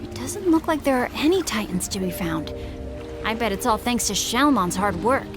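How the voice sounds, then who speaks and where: An excitable young game companion, played by a woman, fairly high in pitch. The delivery is earnest and expressive, over clear background music. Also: normal speed, natural voice. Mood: thoughtful. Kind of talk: English video game voice acting.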